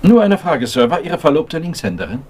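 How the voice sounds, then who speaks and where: Brusque police inspector, lively intonation. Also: male voice, a little high, a little fast, noisy background. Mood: grave, official. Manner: blunt, official, dry. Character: brusque, businesslike middle-aged male police chief inspector. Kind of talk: German retro crime radio drama.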